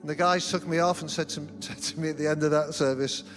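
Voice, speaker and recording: A man's voice: fairly high pitch, steady tone, fast talker, fairly bright tone, soft background music. A middle-aged man preaching a sermon as senior pastor in a church, telling humorous, self-deprecating stories. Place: church auditorium.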